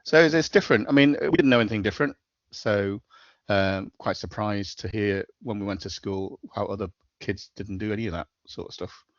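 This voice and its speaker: A middle-aged man with a Scouse accent speaks in a low voice as a podcast guest, matter-of-fact and full of small hesitations. The recording is dry and close, with a slightly dull, lo-fi tone. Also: a little fast, no room sound. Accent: Liverpool (Scouse). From Liverpool, England. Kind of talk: casual interview chat.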